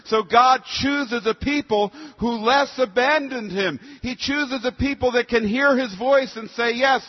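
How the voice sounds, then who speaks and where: A man with a high-pitched voice preaches in an emphatic, rhetorical way, moving between scripture and explanation. His words carry a long hall reverb, and the recording is somewhat dull and muffled. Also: unhurried. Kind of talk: sermon with scripture readings.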